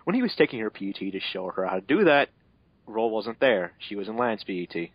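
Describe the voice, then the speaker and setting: A man's voice: lowish voice, sing-song, brisk, dry recording. Sardonic man reading aloud, dry muffled mic. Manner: sardonic asides, breaks off to swear. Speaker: narrator of a fanfiction reading. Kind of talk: mocking amateur dramatic reading. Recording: dull, lo-fi home mic.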